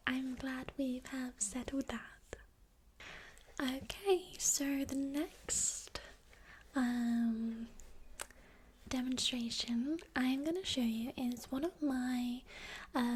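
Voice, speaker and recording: A woman doing an ASMR role-play, gently alternating between soft speaking and breathy whispers, often checking in with a soft "okay?". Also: high voice, a little hiss or hum. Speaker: ASMR creator.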